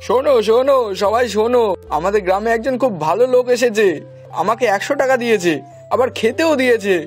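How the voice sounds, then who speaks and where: A man voicing an eager, hungry little village boy with a high, husky voice in a children's cartoon. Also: quick pace, soft background music.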